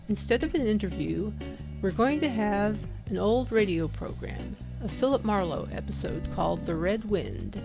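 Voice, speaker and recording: Woman podcast host over music. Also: medium pitch. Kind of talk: scripted podcast introduction.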